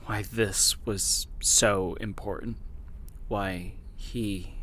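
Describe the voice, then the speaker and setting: An actor playing an exhausted, obsessed male investigator in an audio drama, brooding and halting as he records his confessional log. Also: fairly low pitch, steady tone, pushed and bright, a little hiss or hum, full character voice. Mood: brooding, grave.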